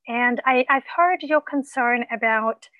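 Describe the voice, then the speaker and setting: A woman coach mentor, whose first language is not English, giving supportive, measured feedback with frequent pauses and ums. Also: a little high, dry recording, phone-quality sound.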